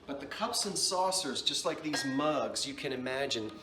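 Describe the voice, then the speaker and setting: A man's voice: medium pitch, lively intonation, moderate pace, music in the background. A man with a New Jersey accent, casually showing and describing antique dishes in a chatty, self-correcting way. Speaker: antiques seller. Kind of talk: casual show-and-tell vlog.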